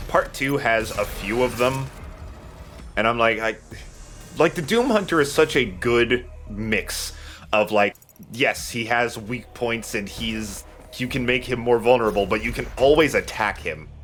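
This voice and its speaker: A man's voice: mid-range voice, unhurried, a bit bright, soft background music. A man hosting a Let's Play, explaining the game in a casual, informal way with somewhat hesitant phrasing. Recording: faint game noise behind. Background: video game sound.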